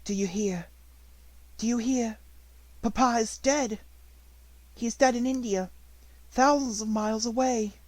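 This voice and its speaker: A man's voice: measured pace, long pauses, no echo. A man voicing a grieving but proud little girl in an audiobook story, speaking with mournful steadiness. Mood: grief-stricken. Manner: mournful, steady, low-toned.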